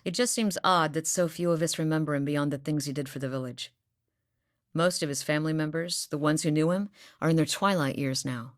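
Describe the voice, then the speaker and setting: A woman's voice: deep voice, no room sound, clean studio sound, lightly acted. A woman voicing a determined young woman hunter, earnest and sincere in a video game. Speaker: voice actor. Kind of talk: video game character dialogue.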